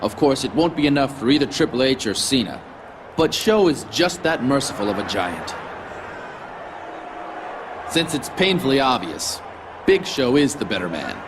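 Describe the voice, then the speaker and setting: A man's voice: medium pitch, very animated, normal speed, long pauses, lightly acted. A man playing a smug wrestling sidekick, delivering a scripted, mocking and boastful promo for his giant friend. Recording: noisy game capture with background ambience.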